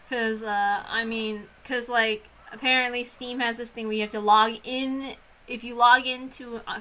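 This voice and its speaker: A woman with a high, very bright voice talks casually on a livestream. She rambles, breaks off her own sentences and starts again, with an apologetic manner. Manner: rambling, apologetic, self-interrupting. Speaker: streamer who reads visual novels aloud.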